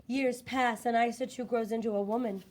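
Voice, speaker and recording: Female storybook reader, slightly high voice, expressive narration, deliberate dramatic phrasing. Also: a little hiss or hum. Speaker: children's book reader.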